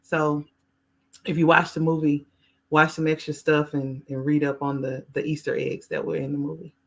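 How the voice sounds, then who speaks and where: A woman's voice: sits low, little pitch movement, moderate pace. A woman hosting a podcast, moderating a group chat and laughing easily. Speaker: podcast host.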